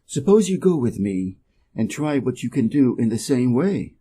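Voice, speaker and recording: A man's voice: mid-range voice, very animated, normal speed, mellow, breathy edge. A man with an American accent voicing a friendly, encouraging old donkey in a storybook, hearty and courteous. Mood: friendly concern.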